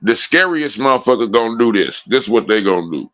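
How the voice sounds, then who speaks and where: A man's voice: mid-range voice, expressive, fast, a bit bright, no room sound, highs cut off. A man in casual livestream chat, blunt and profane, repeating his lines for emphasis. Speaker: livestream co-host.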